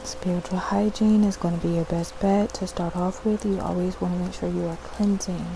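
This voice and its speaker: A woman speaking gently and reassuringly in an ASMR style, as a spiritual healer guiding a cleansing. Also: fairly low pitch, steady tone, vocal fry, noisy background. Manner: gentle, reassuring, repeating words slowly. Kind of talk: ASMR, soothing guided cleansing.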